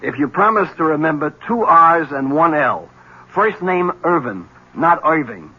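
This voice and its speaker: An actor playing a wry, world-weary police lieutenant with a husky voice, dry and teasing, in a 1970s radio drama. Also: male voice, fairly high pitch, expressive, a little slow. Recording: dull 1970s radio recording.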